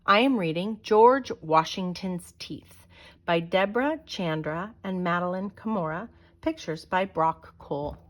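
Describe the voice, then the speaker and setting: A woman reading a children's picture book aloud as a storyteller, with dramatic, deliberate delivery. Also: fairly low pitch.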